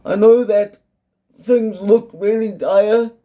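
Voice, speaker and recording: Young south african guy talking slow and careful, kinda high voice but really mellow tone. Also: fairly even intonation. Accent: South African English. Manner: measured and earnest, carefully explaining. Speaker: vlogger. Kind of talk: personal video monologue.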